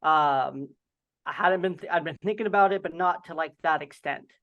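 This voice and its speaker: A man's voice: fairly high pitch, moderate pace. A young man with a slight lisp and an occasional stutter tells his story in a casual, rambling way full of fillers on a video call. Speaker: podcast host and guest. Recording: dull lo-fi video call audio.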